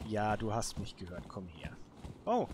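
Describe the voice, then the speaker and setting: A man's voice: fairly low pitch, sing-song, unhurried. A man giving casual solo let's play commentary, muttering short remarks to himself. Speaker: gaming video host. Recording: clearly noisy background with clicks.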